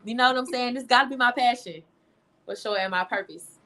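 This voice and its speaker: A woman's voice: a little high, brisk, sharp tone. A woman who is a singer, speaking informally and expressively as an interview guest, often repeating phrases for emphasis. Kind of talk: candid, conversational interview.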